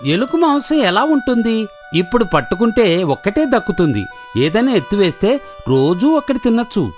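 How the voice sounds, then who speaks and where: A woman's voice: medium pitch, big pitch swings, normal speed, darkish tone, natural voice. A woman acts out a sly, hungry fox putting on piety in a cartoon fable. The delivery swoops up and down, with music behind it. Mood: scheming. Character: sly, hungry fox posing as a pious holy one. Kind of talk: children's cartoon story acting.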